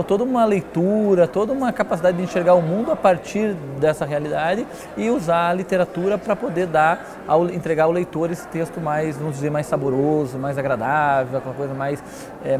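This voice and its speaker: Fast high-pitched Brazilian man, crowd murmur. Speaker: interview guest, literary newspaper editor. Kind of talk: conversational interview answers.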